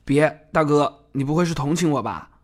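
A man's voice: fairly high pitch, moderate pace, lightly acted. A man acting a playful, stubborn young man in an audio drama. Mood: evasive, brisk.

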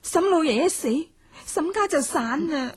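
A woman's voice: high voice, lively intonation, measured pace. A young woman playing a bitter, wounded young lady of a rich household in a radio drama, with a Hong Kong Cantonese accent. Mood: bitter, defiant.

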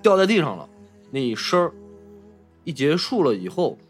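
A man's voice: fairly high pitch, sing-song, moderate pace, faint music behind. A man co-hosting a casual film discussion, recounting things hesitantly in short, piecemeal phrases. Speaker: podcast co-host.

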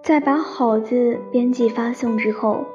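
Actress as romantic shy young woman, higher voice, soft radio drama narration, piano behind, bit dull sound. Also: a little slow, natural voice. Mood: wistful, tender. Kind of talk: Chinese radio drama, sentimental first-person narration. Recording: slightly dull sound under piano music.

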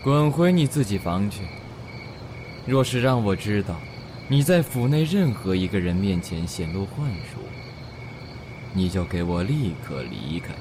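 A man's voice: fairly low pitch, expressive, unhurried, background noise. A man playing a stern, commanding young nobleman in a costume radio drama. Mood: cold, menacing. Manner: commanding, threatening. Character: cold, stern young nobleman, eldest son of household.

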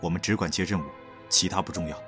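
A man as a deep-voiced, reserved assassin. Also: fairly even intonation, fast talker, over music, natural voice. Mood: calm. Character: calm, reserved, protective senior brother assassin. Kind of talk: Chinese costume audio drama acting.

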